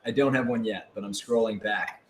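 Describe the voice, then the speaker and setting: A man's voice: on the low side, steady tone, brisk. A man chatting casually as part of a live-stream panel. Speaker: panel member answering fan questions. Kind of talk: casual chat. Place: indoor room, live stream. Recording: echoey room.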